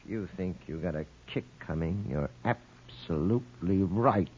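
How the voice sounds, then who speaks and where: Actor as a jealous, menacing husband, muffled old radio. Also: male voice, low-pitched, moderate pace, noisy background, natural voice. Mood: menacing, angry. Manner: accusing, threatening. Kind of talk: 1950s radio drama acting.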